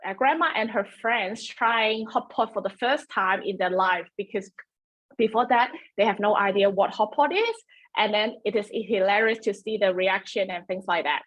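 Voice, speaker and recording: A woman's voice: mid-range voice, moderate pace, a bit bright, muffled recording. A woman whose first language is Cantonese, hosting a webinar in a chatty, self-deprecating way with many fillers and restarts, talking into a computer mic. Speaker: webinar host. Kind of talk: presenting a webinar.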